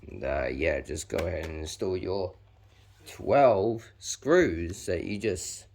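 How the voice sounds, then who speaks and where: A 19-year-old New man forcing his voice low on purpose, so it comes out very deep with a gravelly rasp. He talks casually and loosely through a hands-on task, with plenty of fillers. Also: a little mellow. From New Zealand. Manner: deliberately putting on an extra-deep voice; chatty, filler-heavy, talks while working with his hands. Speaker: vlogger. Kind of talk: casual how-to narration while working.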